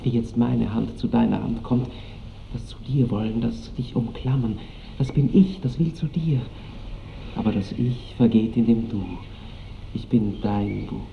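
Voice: mid-range voice, unhurried, gravelly. An actor playing an ardent seventeen-year-old count in a radio drama, rhapsodic and intimate, often sinking toward a whisper. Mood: yearning, rhapsodic. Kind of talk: 1960s German radio drama acting. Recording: dull, noisy old radio recording.

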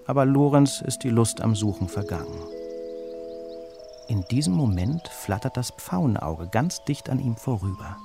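A man reading a children's story as its narrator, with an expressive storytelling delivery. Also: medium pitch, a little slow, creaky, music in the background.

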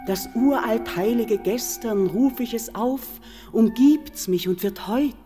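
Radio play, 1949: a yearning, regal spirit queen, measured and dark, long reverb, faint music. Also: on the high side. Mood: exalted, urging. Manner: solemn, measured, incantatory declamation. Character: ageless, otherworldly mountain queen, solemn, yearning, commanding. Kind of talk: 1940s German radio play acting, verse.

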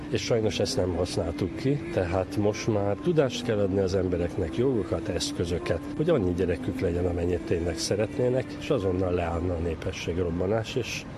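A man's voice: low-pitched, background noise. A man speaking as an expert in an interview, arguing in an emphatic, warning manner. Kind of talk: argumentative spoken interview.

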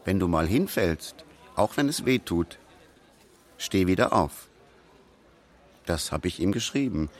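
A man's voice: deep voice, lively intonation, unhurried, long pauses. An actor playing a wry, supportive songwriter friend recalling his encouragement in a radio play monologue. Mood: earnest, wry.

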